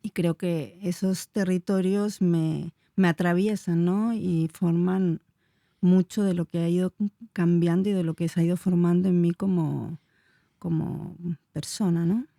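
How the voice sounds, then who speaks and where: A woman's voice: on the low side, steady tone, unhurried, gravelly, dark tone, no echo, studio quality. A woman in her forties from Chile, a dance teacher answering interview questions in a searching, hesitant way with many fillers. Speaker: dance teacher and movement researcher.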